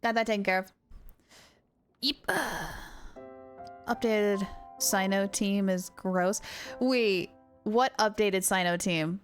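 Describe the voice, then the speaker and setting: A woman livestreamer chatting casually over a game, with an excitable, gushing manner. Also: mid-range voice, slow pace, pauses often, faint music behind. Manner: excitable, gushing, baby-talks to her dog. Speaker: live game streamer. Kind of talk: casual livestream chat while gaming. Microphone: streamer's mic, wearing headphones.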